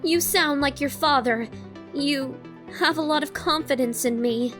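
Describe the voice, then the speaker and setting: A very high, slow-paced young robot woman, acted by a woman speaks in halting fragments, anxious and guarded. It is dramatic comic-dub acting with faint music behind the bright voice. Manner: halting, clipped short phrases.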